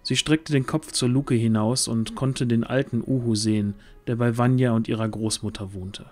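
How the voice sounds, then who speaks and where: Male narrator for fantasy audio drama: medium pitch, scripted storytelling, light music bed. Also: steady tone, normal speed, a little mellow.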